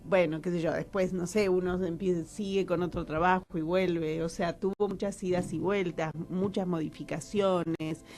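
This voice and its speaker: A woman's voice: lowish voice, steady tone, moderate pace, few pauses, a little mellow, soft background music. A woman with an Argentine accent, an actress and writer, talking casually as a radio guest in a rambling, hesitant way. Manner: rambling, conversational, hesitant with repeated words.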